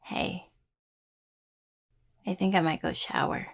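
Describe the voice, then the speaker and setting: A woman playing a grieving, tender young necromancer in an intimate audio roleplay, speaking haltingly and apologetically to a partner. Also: lowish voice, wildly swooping pitch, gravelly, heavily acted. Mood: teasing, affectionate.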